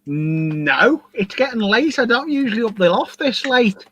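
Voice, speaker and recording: A man's voice: high-pitched, big pitch swings, measured pace, a bit bright, slight background noise. A man chatting casually as a guest modeler on a livestream video call. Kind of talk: casual chat on a group call. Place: home loft hobby room, on a video call.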